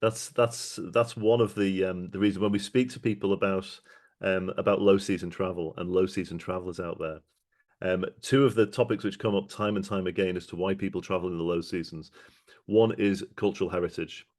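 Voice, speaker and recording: A man's voice: low-pitched, fairly even intonation, a little fast, darkish tone. A man hosting a travel podcast, asking long, rambling questions in a friendly way. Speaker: podcast host. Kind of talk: conversational podcast interview.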